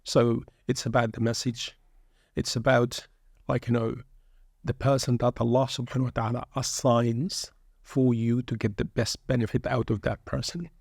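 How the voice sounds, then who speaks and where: Male shaykh, gravelly and level, preacherly podcast guest on a dry mic. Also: medium pitch, little pitch movement, normal speed, darkish tone, no room sound. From born in England, raised in Sudan. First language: Arabic. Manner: earnest, preacherly, peppered with Arabic phrases. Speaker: guest shaykh, pilgrimage group leader. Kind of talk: reflective religious podcast talk.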